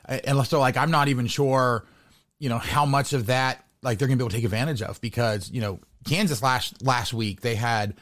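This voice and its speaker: A man's voice: mid-range voice, fairly quick, a bit bright. An American man hosting a casual sports interview, rambling and repeating words as he thinks aloud. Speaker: podcast host interviewing a sports guest.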